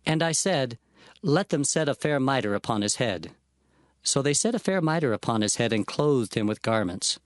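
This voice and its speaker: A man's voice: mid-range voice, animated, normal speed, dry recording, clean studio sound, close to an everyday voice. A man playing an earnest, awed prophet who recounts his visions reverently and expressively as narrator of dramatized scripture. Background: faint music.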